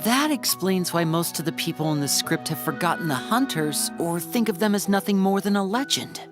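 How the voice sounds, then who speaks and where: A level-headed adventurer, played by a woman, with a low voice that still moves expressively. She sounds calm and thoughtful, in video game style, over clear music. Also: moderate pace, close to an everyday voice.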